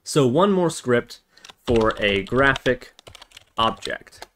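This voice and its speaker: A man hosting a software tutorial, explaining methodically, step by step. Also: mid-range voice, expressive, slow. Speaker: tutorial host. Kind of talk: scripted-feeling coding tutorial narration. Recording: noisy, with keyboard typing and clicks. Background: computer keyboard typing and mouse clicks.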